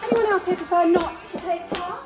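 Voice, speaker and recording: An actress playing a bossy, patronising, falsely upbeat job-training course leader in a radio play, brisk and condescending. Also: female voice, extremely high pitch, darkish tone, slight background noise. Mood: irritated, officious. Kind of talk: naturalistic radio play acting.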